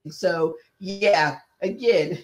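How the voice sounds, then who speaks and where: Southern woman co-host, animated storyteller, casual podcast chat. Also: on the low side, extremely slow. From American South. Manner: animated storyteller, frequent affirmations.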